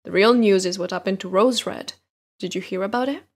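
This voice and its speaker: A woman's voice: mid-range voice, fairly quick, husky. A woman playing a brash, foul-mouthed fairy-tale princess, sardonic and blunt, in a comic dub. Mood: sardonic, gossipy. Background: soft music.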